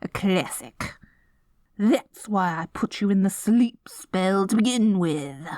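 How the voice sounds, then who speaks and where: Picture a petty, excitable evil queen as a woman acts her out in an audio roleplay. She schemes out loud with theatrical relish, taking her time over the words and swinging widely in pitch. The sound is close and dry. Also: slow, dry recording. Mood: gleeful scheming. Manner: melodramatic, gleefully scheming, indignant outbursts. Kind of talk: scripted ASMR audio roleplay.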